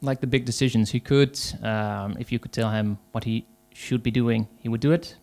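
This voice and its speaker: Dutch-accented male conference speaker, rough-edged voice, halting delivery. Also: mid-range voice, steady tone, a little mellow. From Netherlands. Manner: hesitant, many fillers, pauses. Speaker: conference speaker, agile coach. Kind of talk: conference talk.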